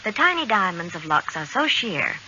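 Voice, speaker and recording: A woman's voice: mid-range voice, sing-song, normal speed, pushed and bright. A woman reading scripted advertising patter on 1940s radio. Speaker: radio commercial spokeswoman. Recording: muffled vintage radio with faint hiss.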